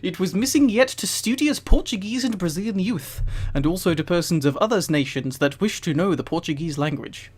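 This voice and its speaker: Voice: mid-range voice, expressive, a little fast, few pauses, slightly rough. A streamer who reads aloud in an amused, emphatic way with casual asides, prone to breaking into giggles. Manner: giggly, often breaking into laughter while reading.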